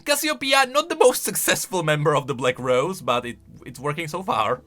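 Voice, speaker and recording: A man hosting off-the-cuff commentary on video game lore in an excitable, emphatic way. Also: a little high, moderate pace, faint music behind.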